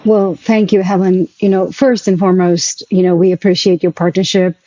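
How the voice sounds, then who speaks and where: A woman with a South African accent and a dark, mellow voice, talking as an interview guest. She repeats words as she reflects, in a dry, slightly dull recording. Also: fairly low pitch, level tone, moderate pace, no room sound. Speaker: interview guest, arts nonprofit executive. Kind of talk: conversational personal account.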